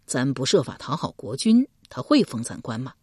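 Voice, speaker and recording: A woman's voice: extremely low pitch, sing-song, moderate pace, mellow, breathy edge, dry recording, clean studio sound, exaggerated voice. A woman storyteller playing a ruthless, ambitious husband from ancient times, domineering and coldly persuasive. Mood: coaxing.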